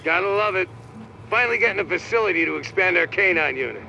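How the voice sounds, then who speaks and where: An actor playing a middle-aged New police captain in a video game, blunt, streetwise and skeptical. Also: male voice, high-pitched, lively intonation, fast talker, gravelly, bright, forceful tone, muffled recording, slight background noise, full character voice. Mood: pleased, energized. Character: gruff, skeptical New York police captain, grudgingly warm. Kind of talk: video game cutscene acting.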